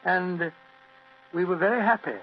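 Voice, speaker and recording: An actor playing a genial, blustering older British doctor in a vintage detective radio play. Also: male voice, high-pitched, normal speed, close to an everyday voice. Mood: genial, consoling. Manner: avuncular, chuckling, stammering bluster. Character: genial, blustering older English gentleman doctor, loyal sidekick. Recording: dull, hissy old radio recording with hum.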